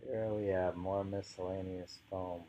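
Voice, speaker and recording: A man reading poetry aloud informally, musing and halting as he adds his own commentary in an unhurried way, on a dull home recording. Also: sits low, little pitch movement, background noise. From United States. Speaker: poetry reader.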